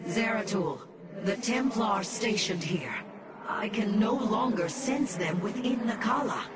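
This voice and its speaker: An actor voicing a dutiful alien military executor in a video game, formal and urgent, in the manner of a report. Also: male voice, sits high, expressive, unhurried, very noisy. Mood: grave, urgent. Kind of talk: dramatic video game voice acting. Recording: loud music behind.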